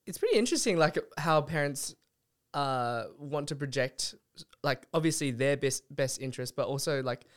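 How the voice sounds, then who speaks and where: A man's voice: mid-range voice, lively intonation, moderate pace. A young man hosting a podcast interview, hesitant and searching for words with frequent restarts and fillers. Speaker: podcast host. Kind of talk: interview chat.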